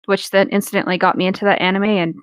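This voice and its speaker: A woman's voice: medium pitch, level tone, fast, clear, resonant tone, dry recording. A woman chatting casually with friends in a candid, conversational way. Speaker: co-host reading horror stories in a group.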